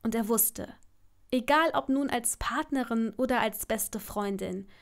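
Higher-voiced woman narrating a story. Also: measured pace, creaky.

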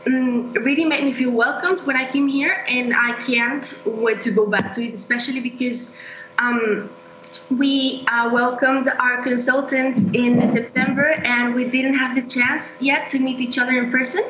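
A woman's voice: sits high, steady tone, a little slow, a bit bright. A young woman, a student guest speaking over a webcam video call, chatty and laughing easily as she answers. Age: young adult. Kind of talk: casual interview answers. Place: indoor room at home. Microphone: laptop or webcam mic on video call. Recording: echoey lo-fi video call with hum.

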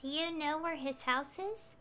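A woman's voice: sits high, a little slow, pushed and bright. A woman doing casual live game-stream commentary, chatting and reading dialogue choices aloud. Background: game music.

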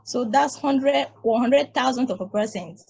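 A woman voicing an ancient, weary space probe, reading calmly and explaining at length in a table read over a video call. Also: sits high, unhurried, gravelly, breathy edge, no echo, exaggerated voice, low-quality recording. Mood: lecturing. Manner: calm, reasoning, explaining at length.

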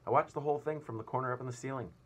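A man's voice: mid-range voice, racing, a bit bright, voice pushed up, natural voice. A man voicing a decent, wronged female spider from a storybook, plaintive and earnest.

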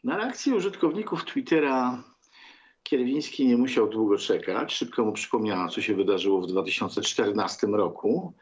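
Older man, sarcastic political commentary, lively swooping intonation, theatrical halting delivery, dull recording. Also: mid-range voice. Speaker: TV talk show host. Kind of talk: sardonic political commentary to viewers. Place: book-lined room at home.

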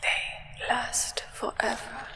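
A young woman playing an aloof, solemn acolyte of a vampire sovereign in a soft whispered ASMR roleplay. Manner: slow, measured, soft whisper. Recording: reverberant whisper with long echo.

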